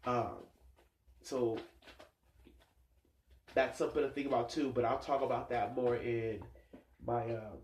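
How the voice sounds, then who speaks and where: A stuffed-up man reads tarot cards in a loose, rambling way, thinking aloud with plenty of fillers. His voice sits at an ordinary middle pitch. The room around him gives it a noticeable echo. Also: fairly even intonation, unhurried, long pauses, slight background noise. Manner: musing, rambling, many fillers. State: stuffed-up nose. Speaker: tarot reader. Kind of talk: casual tarot reading vlog. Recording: echoey room sound.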